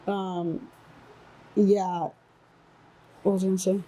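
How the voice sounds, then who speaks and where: A woman's voice: medium pitch, level tone, takes it slowly, warm tone, slight background noise, rough recording. A young adult woman hosting a casual chat, talking loosely with plenty of fillers and casual swearing. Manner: casual and profane, lots of fillers. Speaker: podcast host.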